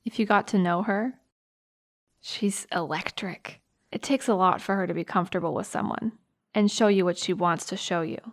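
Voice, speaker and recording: An actress playing a tender, shaken high school girl, halting and emotional in a naturalistic audio drama. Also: natural voice. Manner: halting, emotional, breaking into whispers.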